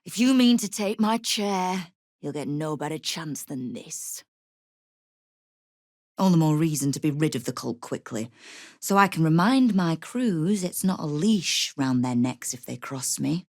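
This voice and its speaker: A hard-bitten female guild boss from a fantasy game speaks in a low, gravelly voice, close and dry in the recording. She is sardonic and commanding, used to giving orders and making threats with dry wit. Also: animated, a little slow, dry recording. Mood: menacing. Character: tough, sardonic woman crime boss ruling a city thieves' guild. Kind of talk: video game character acting.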